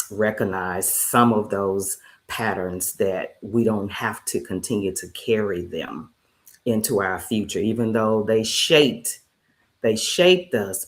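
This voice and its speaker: A woman who works as a peer recovery specialist and life coach, speaking on a video-call panel in deliberate, list-like phrasing. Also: very deep voice. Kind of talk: panel discussion.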